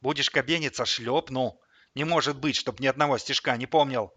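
A man's voice: medium pitch, a little fast, a bit bright, breathy edge, no room sound, muffled recording. A man voicing a bossy, scheming bald gangster who barks, hisses threats and coaxes sweetly in an audiobook story. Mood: frantic. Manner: barking, hissing threats, coaxing sweet talk.

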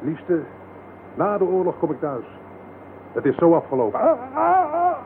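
Radio drama: anguished soldier, highish voice, wildly swinging intonation, thin noisy recording. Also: male voice, wildly swooping pitch, normal speed, full character voice. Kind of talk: dramatic radio play acting. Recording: noisy, tinny old radio broadcast.

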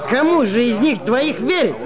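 Pompous, high-pitched orator. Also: male voice, animated, normal speed. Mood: pompous, festive. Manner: declamatory, pompous. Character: pompous male orator proposing a grandiose toast. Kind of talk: audio drama speech-making.

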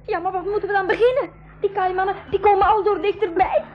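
A woman playing an anxious, spirited young girl in a 1980s school radio drama, speaking excitably. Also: very high-pitched, fast talker, slight background noise. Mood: panicked. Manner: excitable, exclamatory. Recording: slightly muffled 1980s radio recording.

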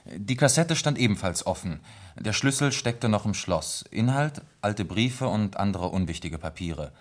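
This is a dutiful male police lieutenant in a radio play. He has a deep voice. He reads official notes formally and with care, hesitating between items. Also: expressive, a little slow. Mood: formal, sober. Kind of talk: German radio play acting.

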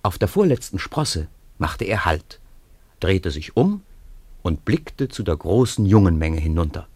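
A man's voice: low-pitched, very animated, a little slow, darkish tone, breathy edge, no echo. A man narrating a scripted story for a radio play.

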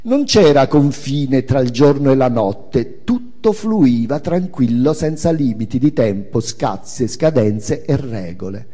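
A man's voice: medium pitch, expressive, measured pace, few pauses, warm tone, big-room sound. A man giving a theatrical reading of autobiographical prose as its author and narrator, with dramatic, emphatic phrasing.

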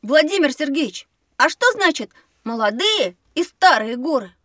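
A woman's voice: high-pitched, big pitch swings, normal speed, a bit bright, no echo, clean studio sound. A woman voicing a curious, cocky young schoolboy with a playful, inquisitive manner in an educational audio drama.

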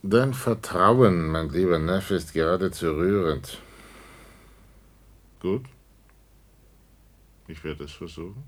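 A man's voice: low-pitched, slow, long pauses. A man playing a wry, indulgent older uncle in a German science fiction radio play, with dry irony. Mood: ironic, indulgent.

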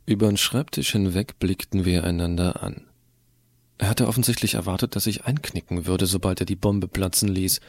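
A man's voice: on the low side, darkish tone, no room sound. A man narrating as a sardonic, self-assured teenage hacker in a first-person audio drama, casual and wry. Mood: confident. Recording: clearly audible hiss.

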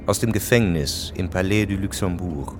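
A man narrating a historical radio drama in a measured, sober manner. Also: low-pitched, soft background music, natural voice. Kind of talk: scripted narration.

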